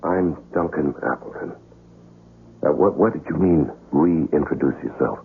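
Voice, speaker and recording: An actor playing an earnest, bewildered man with no memory in a 1970s radio drama, gravelly and often halting. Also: rumbling low, slight background noise, full character voice. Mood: confused, wary. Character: bewildered amnesiac man accused of being an assassin. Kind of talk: 1970s radio drama acting with first-person narration. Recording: narrow-band vintage radio broadcast.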